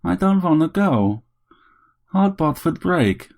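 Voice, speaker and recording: A man's voice: on the high side, sing-song, takes it slowly, gravelly, darkish tone, pitched above the performer's own voice, exaggerated voice. A man voicing a robot with an old wife's personality, using a feminine, scolding intonation for a story. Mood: pleading.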